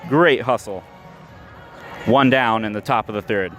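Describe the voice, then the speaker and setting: A man doing radio play-by-play of a baseball game, giving a measured call with stats between pitches, recorded live at an outdoor ballpark. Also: over music. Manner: steady, measured call with stats between pitches, rising sharply on big plays. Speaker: play-by-play announcer. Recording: live ballpark recording with clear crowd noise.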